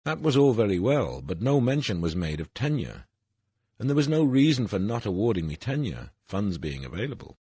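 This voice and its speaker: A very deep, slightly husky voice from an older man who reads his essay in a measured, formal manner. His pitch swings widely, rising and dropping across each phrase. The sound is dry and close, with no room around it. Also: big pitch swings, warm tone, no echo. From Wales. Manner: measured, formal reading with falling phrase ends. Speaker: essay narrator. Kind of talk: reading an autobiographical essay.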